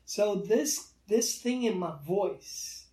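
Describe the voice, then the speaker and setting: Slow, halting man in a roomy space. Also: high-pitched, dark tone, far from the mic. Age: 30s. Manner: halting, many restarts, earnest. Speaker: guest artist. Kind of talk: reflective interview talk.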